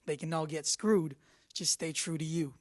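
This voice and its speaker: A man's voice: fairly high pitch, fairly even intonation, moderate pace. A man giving a dramatic spoken reading of verse. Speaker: news reporter reading lyrics.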